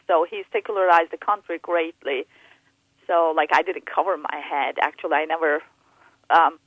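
A woman from Turkey, a guest in an interview, talking about faith with many pauses, fillers and restarts. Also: on the low side, dry recording. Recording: tinny phone line.

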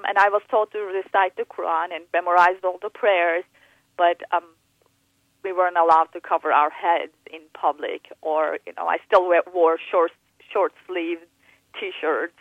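A woman's voice: on the low side, no room sound. Guest, female, hesitant and thoughtful, heard on a tinny phone call. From Turkey. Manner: hesitant, many fillers and restarts. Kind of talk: interview on faith and upbringing.

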